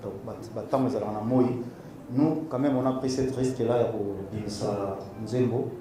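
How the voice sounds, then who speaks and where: A middle-aged Congolese man with a Congolese French accent, a singer answering at a press conference, mixing French and Lingala and pausing often with fillers. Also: medium pitch, steady tone, background noise. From Democratic Republic of the Congo. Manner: hesitant, many fillers. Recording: very echoey room from far off, crowd.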